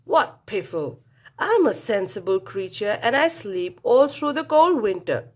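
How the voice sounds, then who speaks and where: A woman's voice: mid-range voice, sing-song, measured pace. A woman voicing a grumpy, scornful male badger for a children's storybook, blustering and emphatic. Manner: blustering, spluttering, emphatic exclamations.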